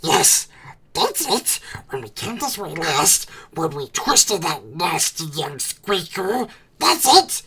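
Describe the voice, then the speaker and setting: A woman's voice: lowish voice, sing-song, slow, pushed and bright, voice pushed up, full character voice. A woman voicing a wretched, treacherous male cave creature who hisses, whispers and talks to himself in an audiobook. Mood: frantic. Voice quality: hissing, breathy whisper. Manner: talks to himself, repeats words, swings between whimper and shriek. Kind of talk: audiobook character voice.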